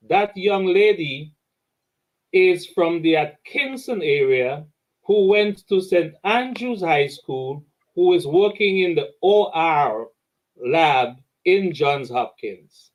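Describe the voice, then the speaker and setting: A man's voice: high-pitched, expressive, takes it slowly, muffled recording. A Dominican man hosting an interview and eagerly telling a story from his week. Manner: eager storyteller, repeats words. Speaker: interview host. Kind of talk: animated anecdote in conversation.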